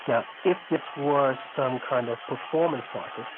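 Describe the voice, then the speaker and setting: A man's voice: mid-range voice, normal speed, narrow, tinny audio. Enthusiastic male researcher, thin noisy sound. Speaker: interviewee researcher. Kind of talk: interview commentary.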